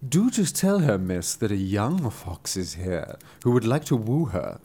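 A man's voice: on the low side, big pitch swings, a little slow, warm tone, husky, heavily acted. A man voicing a polite young male fox suitor in a storybook, mild and courteous.

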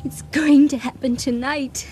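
An actress playing a shy, bookish, lovestruck young woman in a radio drama, earnest and halting. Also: sits high, fairly even intonation, darkish tone, faint music behind. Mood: determined. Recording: noisy 1980 radio drama recording.

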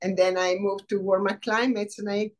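A middle-aged woman from Rome with an Italian accent, a professor speaking in a casual interview, thoughtful with many pauses. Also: medium pitch, flat delivery. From Rome, Italy. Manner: warm, thoughtful, many "um" pauses, laughs easily. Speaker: biology professor, guest.

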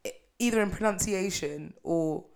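Young female co-host from Uganda, lowish pitch, easygoing chat. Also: normal speed, darkish tone. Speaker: podcast co-host. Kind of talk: casual chat.

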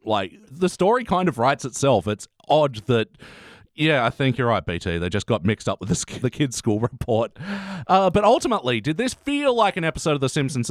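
Podcast host: Australian man, medium pitch, rambling casual delivery, very dry sound. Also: dry recording. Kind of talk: casual TV review chat.

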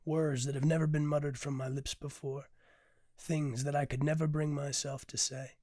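A hoarse man with a raspy voice, a podcast host and poet who reads a poem and then talks in a candid, rambling way, swearing casually. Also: on the high side, level tone, normal speed, a little mellow, no echo, clean studio sound. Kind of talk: poetry reading then casual reflective talk.

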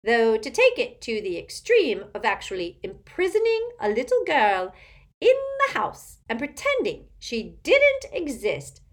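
A woman's voice: fairly high pitch, huge pitch swings, a little slow, voice pushed up. A woman voicing a haughty, spiteful, tipsy old witch aunt in a storybook, cackling and screechy, with an emphatic gossipy manner. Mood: haughty, relishing.

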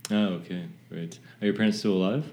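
Male interviewer, very low voice, easygoing questions, lots of short affirmations. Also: steady tone, brisk. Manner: frequent brief affirmations, soft asides. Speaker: podcast host.

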